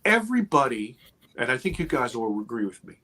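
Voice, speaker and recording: A man's voice: medium pitch, very animated, a little fast, sharp tone. A man in his fifties, a guest on a podcast, talking casually and with energy, swearing freely and checking in with "right?" and "okay". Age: 50s.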